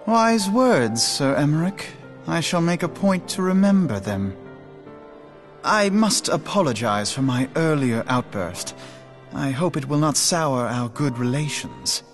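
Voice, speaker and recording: High, animated young British envoy. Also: male voice, a little slow, faint music behind. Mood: contrite. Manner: formal, articulate, pointed. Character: earnest, proud, sharp-minded young male envoy. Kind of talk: dramatic fantasy game voice acting.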